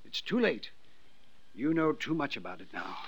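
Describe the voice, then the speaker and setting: An actor playing a perturbed, guilt-ridden old gentleman with formal, hesitant phrasing in a 1950s radio drama. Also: mid-range voice, sing-song, moderate pace, no room sound. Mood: nervous, confessing. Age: older. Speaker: supporting actor in a radio detective serial.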